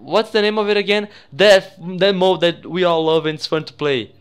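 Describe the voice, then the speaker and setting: A young man from Brazil with a Brazilian accent, a native Portuguese speaker, giving excitable, sweary, casual commentary as host of a gaming video. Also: high-pitched, normal speed, clear, resonant tone, faint music behind.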